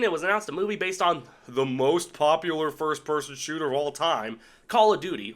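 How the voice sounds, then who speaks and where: Fast, animated, sarcastic man narrating. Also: medium pitch. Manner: sarcastic, irreverent, casually profane. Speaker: video essay host. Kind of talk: scripted countdown commentary.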